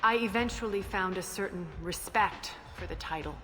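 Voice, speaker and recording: A woman's voice: medium pitch, normal speed, natural voice. A woman playing an imperious, smug alien crime boss in a video game, speaking with cool, haughty deliberation. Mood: cool, amused. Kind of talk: video game character acting.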